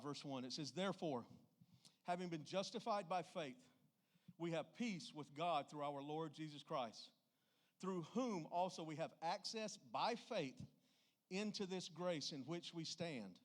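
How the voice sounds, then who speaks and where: A middle-aged man preaches in a somewhat high voice. His delivery is informal and emphatic, with folksy turns and questions thrown out to the congregation. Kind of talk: informal church sermon.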